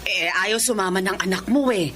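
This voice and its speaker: An actress playing a good-natured, chatty aunt and cousin in a radio drama, animated and reassuring. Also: female voice, mid-range voice, moderate pace, soft background music, lightly acted. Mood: friendly.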